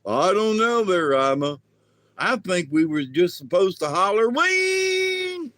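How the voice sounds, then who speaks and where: A man's voice: high-pitched, expressive, unhurried, fairly bright tone, no room sound, pitched above the performer's own voice. Man doing a raised, earnest alien sidekick. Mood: unsure. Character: short dim-witted male alien sidekick.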